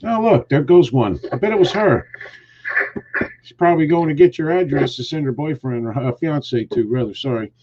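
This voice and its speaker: A man's voice: a little high, expressive, moderate pace, muffled recording, heavy background noise. A man hosting a casual live stream, greeting viewers and teasing his co-hosts in a joking, rambling way. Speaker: host.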